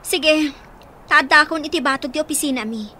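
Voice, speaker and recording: A woman's voice: sits high, fairly quick, close to an everyday voice. An actress playing a concerned, helpful woman in an Ilocano radio drama, speaking in an earnest, conversational way. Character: concerned helpful woman taking in orphaned children.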